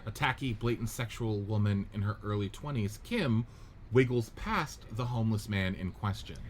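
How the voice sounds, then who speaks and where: Brief: male narrator, low-ish voice, plain steady reading of scene directions. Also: normal speed, slight background noise. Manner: steady, matter-of-fact reading.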